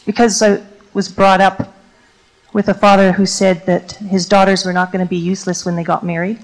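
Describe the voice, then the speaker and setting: A woman's voice: mid-range voice, little pitch movement, moderate pace, vocal fry, poor audio. A woman from Canada whose first language is Ukrainian, a teacher answering audience questions on a lecture stage in a reflective, storytelling way with many fillers.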